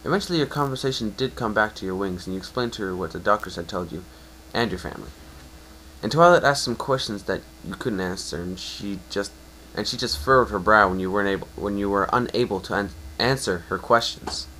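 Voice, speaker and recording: Low-voiced man narrating a story. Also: brisk, bright, forceful tone, a little hiss or hum, rough recording. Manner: stumbles and rereads phrases. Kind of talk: dramatic reading of fan fiction, second-person narration.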